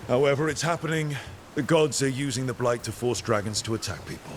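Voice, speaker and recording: An actor playing an inquisitive fantasy adventurer hero in a video game, conversational and straight-talking. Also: male voice, medium pitch, a little fast, a little hiss or hum, close to an everyday voice. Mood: curious, earnest. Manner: questioning, conversational. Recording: faint game ambience behind.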